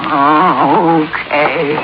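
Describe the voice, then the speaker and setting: An actress playing a crabby, boozy, slow-witted older landlady who grumbles in slang, in an old-time radio detective drama. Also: sits low, steady tone. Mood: rattled, reluctant. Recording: noisy, muffled old radio recording.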